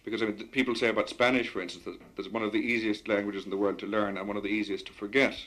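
A man with a fairly low voice. He talks in a measured, articulate way and puts careful questions to his guest in a radio discussion. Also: steady tone, fairly bright tone. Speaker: interviewer.

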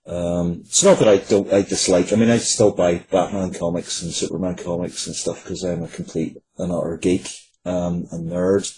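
A low male voice in a casual interview, earnest and reflective as a writer guest. There is some steady noise behind him, as if in an untreated space. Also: moderate pace, poor audio. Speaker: guest, writer of fiction, comics and games.

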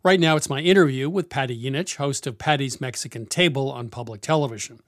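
A man's voice: mid-range voice, fast, no echo. A man hosts a radio interview, asking questions in an easy, curious way. The recording is dry and close, with no room sound. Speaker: radio show host.

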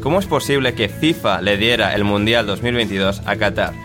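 A man's voice: fairly low pitch, animated, a little fast, over music. A man hosting a podcast interview, chatty and rambling as he asks questions. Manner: chatty, rambling with fillers and restarts. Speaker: podcast host.

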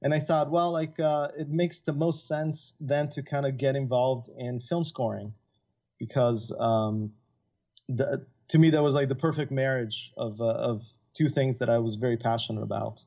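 A man's voice: mid-range voice, fairly even intonation, moderate pace, a little mellow. A middle-aged man who grew up in Italy talks loosely as an interview guest. He rambles, full of fillers and restarts. The recording sounds a bit dull and lo-fi. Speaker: guest composer. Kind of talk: casual interview chat.

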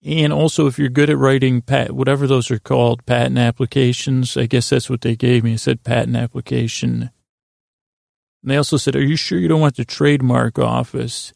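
Gravelly, meandering male podcast host. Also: medium pitch, dry recording, clean studio sound. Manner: meandering, halting, with stammered restarts and trailing tangents; absurd, self-deprecating deadpan humour. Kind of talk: rambling bedtime podcast monologue.

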